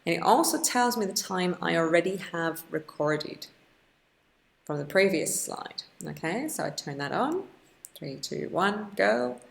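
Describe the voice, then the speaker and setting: A woman teacher giving an informal, chatty software walkthrough, thinking aloud as she demonstrates each step. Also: fairly low pitch, lively intonation, a little slow, gravelly. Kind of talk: informal instructional screen-recording walkthrough.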